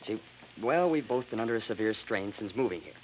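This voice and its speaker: Through a noisy, dull old radio recording comes a man playing a strained young husband. He speaks quickly and formally, disapproving and apologetic, in period radio-drama style. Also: lowish voice, animated, lightly acted. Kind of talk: 1950s American radio drama acting.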